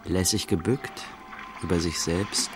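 Slow, deep-voiced detached male observer. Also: steady tone, loud music behind the voice. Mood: detached, deliberate. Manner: clipped, deliberate phrases. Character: detached man reciting terse descriptions of images. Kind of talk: radio play, fragmented image descriptions.

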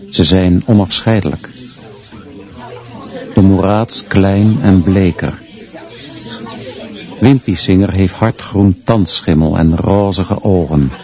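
A grim teacher narrates a literary radio drama with contempt. His voice is very deep and measured, in short deliberate sentences. The sound is somewhat dull and muffled. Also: darkish tone.

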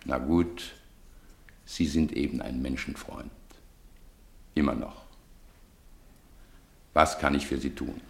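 Doctor Johnson, deep, pompous scholar. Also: male voice, steady tone, takes it slowly, vocal fry, long pauses, heavily acted. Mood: scornful. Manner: pompous, emphatic, sardonic. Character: Doctor Samuel Johnson, scornful, skeptical eminent man of letters. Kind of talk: 1950s German radio play acting.

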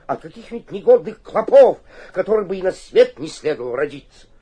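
An actor playing an obsequious, cunning provincial mayor in a theatrical radio play, flattering and slyly suspicious, with a husky voice. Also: male voice, high voice, fairly quick, full character voice. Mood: gushing, humble. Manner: fawning flattery with muttered suspicious asides. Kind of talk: theatrical radio drama acting.

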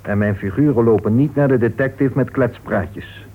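An actor playing a cool, self-assured male crime novelist in a radio detective drama, explaining things in a measured way. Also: medium pitch, breathy edge, close to an everyday voice. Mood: cool, self-justifying. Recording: thin, tinny old radio recording.

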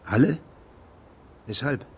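An actor playing a formal, persistent investigating judge who questions witnesses precisely in a German radio drama. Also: male voice, medium pitch, animated, slow, vocal fry, a little hiss or hum. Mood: critical, insistent.

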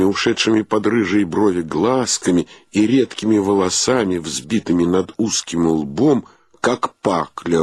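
A man's voice: sits low, breathy edge, no echo, full character voice. An actor playing a wry literary narrator, a man telling a comic tale in a radio play.

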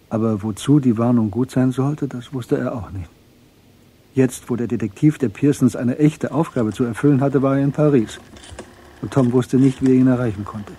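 A man narrating a crime radio drama in a sober, measured storytelling style with a husky voice. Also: medium pitch, mellow, lightly acted.

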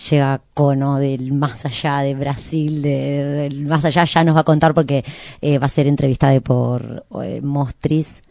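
A woman's voice: rumbling low, flat delivery, a little slow, vocal fry, dark tone, no echo, studio quality. A woman with an Argentine Rioplatense accent hosting a radio show in a casual, rambling, playful chat. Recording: background music. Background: music.

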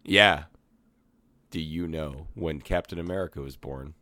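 Slightly hoarse young man hosting a podcast. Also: extremely low pitch, pushed and bright, no room sound. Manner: halting, many fillers and restarts. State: a little hoarse. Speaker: podcast host. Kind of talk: casual movie podcast chat.

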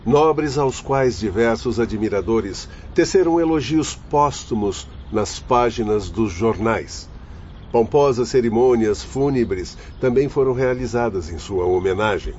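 A man acting in a radio drama as a grave doctor spirit with a Brazilian accent, solemn and measured as he condemns human vice. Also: mid-range voice, expressive, darkish tone, close to an everyday voice. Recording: muffled lo-fi audio with faint noise.

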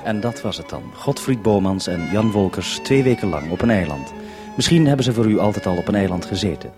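Quick-talking male radio host. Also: lowish voice, animated, over music. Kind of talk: radio presenting.

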